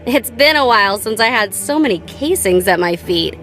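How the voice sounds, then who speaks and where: A woman's voice: fairly high pitch, animated, normal speed, a bit bright, low-quality recording. An American actress voicing a playful, nonchalant young female gun-tech tinkerer in a video game, with a light, girlish, teasing delivery. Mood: cocky, playful. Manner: teasing, breezy, casual. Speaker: voice actor for a video game character. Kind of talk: scripted game character voice lines. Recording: studio voice over background game music. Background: background music.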